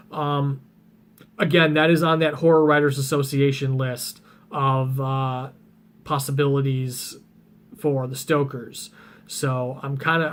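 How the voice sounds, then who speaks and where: Man with a voice going rough. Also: on the high side. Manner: rambling, many ums and restarts. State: voice getting rough and scratchy. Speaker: book vlogger. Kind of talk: casual chat about reading plans.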